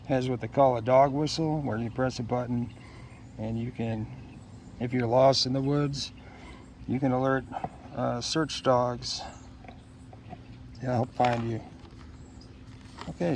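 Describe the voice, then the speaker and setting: A man casually talking through an unboxing, unscripted and halting, often musing aloud to himself. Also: mid-range voice, a little mellow, slight background noise. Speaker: product unboxer.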